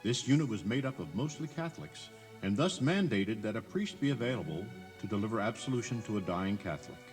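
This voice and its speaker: A man's voice: lowish voice, lively intonation, fairly quick. A man giving scripted, dramatized tour narration in an emphatic, theatrical storytelling manner. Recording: 1980s cassette tour tape, music behind.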